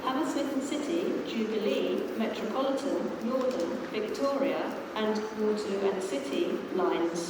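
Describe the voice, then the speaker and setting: An English woman gives formal, measured automated railway announcements in stitched-together phrases over a station public address system. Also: medium pitch. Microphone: distant recorder picking up loudspeakers. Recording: echoey station tannoy from far off, noisy. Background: clear station ambience noise.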